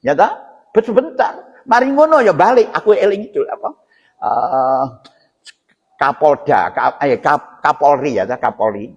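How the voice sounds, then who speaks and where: A man's voice: on the high side, sing-song, unhurried, slightly rough, dull audio. A man with a Javanese accent giving an informal mosque sermon, explaining scripture in a didactic way with rhetorical questions and check-in tags. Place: inside a mosque. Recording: reverberant hall sound.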